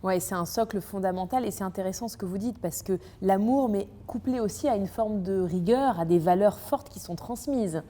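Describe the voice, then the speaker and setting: A woman's voice: medium pitch, normal speed, hardly any pauses, dark tone. A woman hosting a podcast interview, asking questions and affirming her guest. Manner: friendly, affirming, frequent agreement. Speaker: podcast host. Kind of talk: interview conversation. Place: ornate hall.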